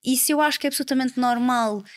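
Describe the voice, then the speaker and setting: A young woman in her twenties speaks with a European Portuguese accent in a fairly high voice. She talks earnestly and at length as a podcast guest, with frequent fillers. The sound is close and dry, with no room echo. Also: fast talker. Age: 20s. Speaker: politician guest. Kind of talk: casual podcast conversation.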